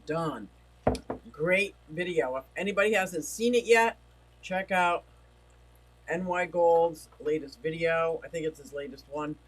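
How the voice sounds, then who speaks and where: A man hosts a relaxed livestream from home, rambling between topics and reading out messages from his chat. His voice sits a little high for a man and he feels it starting to give out. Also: unhurried, a little hiss or hum, low-quality recording. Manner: rambling, chatty, reads chat messages aloud. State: losing his voice. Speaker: livestream host. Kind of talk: casual livestream chat reading viewer comments.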